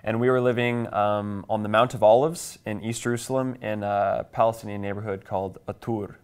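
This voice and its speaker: A man's voice: fairly low pitch, steady tone, brisk, clean tone. A man in his twenties from Kansas with an American accent, answering conversationally as an interview guest. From Kansas, United States. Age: 20s. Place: small TV studio, indoors. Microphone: lapel mic.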